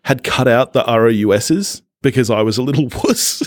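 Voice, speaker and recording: A man's voice: mid-range voice, moderate pace, no echo, studio quality. A man co-hosting a casual film podcast, chatting in a giggly, enthusiastic way.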